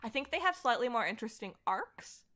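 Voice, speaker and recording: A young woman with a bright, forward voice. She talks casually and animatedly, rambling through her thoughts with lots of "like", as a guest in a relaxed conversation. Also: a little high, a little fast. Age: young adult. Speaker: podcast guest, voice actor. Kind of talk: casual conversation about comics.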